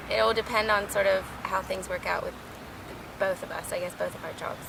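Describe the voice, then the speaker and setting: A woman from Baltimore with a gravelly, fry-edged voice of middle pitch, answering thoughtfully and letting her sentences trail off. Traffic noise from an outdoor city street is clearly heard around her. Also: fairly even intonation, normal speed. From Baltimore, USA. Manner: thoughtful, hedging, soft trailing endings. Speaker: interviewee, drama teacher. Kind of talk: casual street interview chat.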